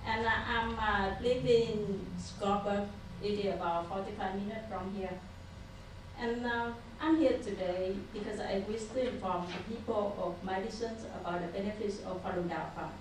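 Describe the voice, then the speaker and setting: A woman from Vietnam with a Vietnamese accent, reading a prepared statement from paper at a council meeting in a careful, halting way. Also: mid-range voice, steady tone, slight background noise. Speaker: public commenter. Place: city council chamber. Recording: echoey room picked up from far off.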